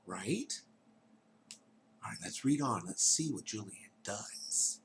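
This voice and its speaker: A man reading a children's story aloud in a theatrical way, dropping into hushed tones and chatting to his young listeners. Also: on the low side, lively intonation, slow, a little hiss or hum.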